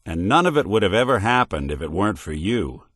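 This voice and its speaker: A man's voice: fairly low pitch, sing-song, a little fast, a bit bright, dry recording, studio quality. An actor voicing a world-weary male synth detective in a video game, a robot carrying an old cop's memories, talking in a hard-boiled drawl. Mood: heartfelt. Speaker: companion character.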